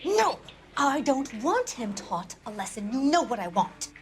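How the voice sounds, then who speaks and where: A woman playing an angry, demanding schemer in a video game, forceful and impatient. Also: sits high, very animated, measured pace, slight background noise, full character voice.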